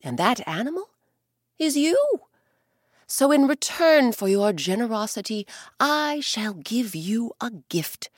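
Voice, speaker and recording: Majestic sky god, slow proclaiming, raised and louder, a woman reads him. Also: a little high, very animated, dry recording, studio quality, pitched above the performer's own voice, full character voice. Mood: solemn. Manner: solemn, proclaiming. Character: powerful male sky god, grand and benevolent.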